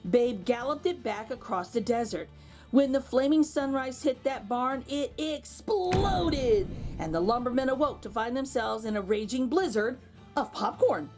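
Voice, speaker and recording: A woman reading a picture book aloud to children as an expressive storyteller, with exclaimed asides to her young listeners. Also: sits high. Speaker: children's read-aloud host.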